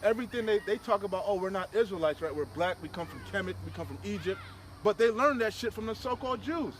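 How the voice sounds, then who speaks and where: A man's voice: sits high, lively intonation, quick pace. A man preaching informally and fierily on scripture, emphatic and repetitive, with plenty of slang. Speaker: street preacher leading a scripture discussion. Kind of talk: fiery informal preaching, commenting on scripture read aloud. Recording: outdoor recording with clear traffic noise.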